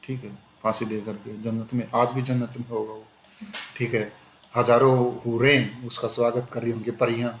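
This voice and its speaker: A man teaches an exam-prep class in a relaxed, conversational way, drifting into slow, deliberate English phrases. His voice carries a noticeable room echo, with a faint hum of ambient noise behind it. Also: mid-range voice. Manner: conversational, repeats "ठीक है" often, switches into slow English phrases. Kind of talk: exam-prep lecture mixing Hindi and English.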